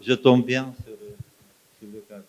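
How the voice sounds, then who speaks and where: A man from Ukraine, a native Ukrainian speaker with an Eastern European accent, answering as a guest author on stage in halting, fragmented phrases. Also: mid-range voice, level tone, darkish tone. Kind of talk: onstage interview answers. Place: live festival stage. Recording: dull, muffled live recording.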